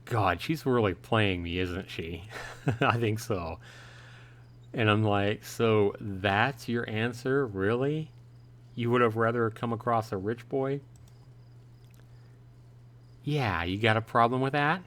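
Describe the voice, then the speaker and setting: Male game streamer, lower-pitched voice, casual read-aloud of story dialogue with off-the-cuff reactions. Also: slow pace, pauses often, fairly bright tone. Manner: reads the dialogue aloud, then paraphrases and reacts. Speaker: streamer, host of a live play-through. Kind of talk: reading a visual novel aloud with casual reactions and commentary.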